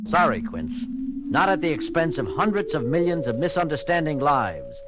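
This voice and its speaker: A man playing a firm, dryly wry starship captain with a crisp, authoritative manner in a science-fiction audio drama. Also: on the high side, huge pitch swings, moderate pace, heavy background noise. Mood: firm, commanding. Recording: loud music bed under dialogue.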